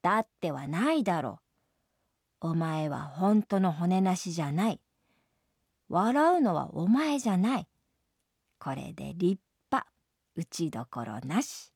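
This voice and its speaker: A woman's voice: low voice, sing-song, normal speed, gravelly, breathy edge, no room sound, clean studio sound, voice pushed down, full character voice. A woman voicing a stern mother jellyfish in a storybook, scolding bluntly in folksy motherly words but caring underneath.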